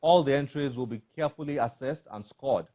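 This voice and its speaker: A man with a Nigerian accent presenting a scripted TV show to viewers, with deliberate emphasis on key phrases. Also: medium pitch, warm tone. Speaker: TV book show host.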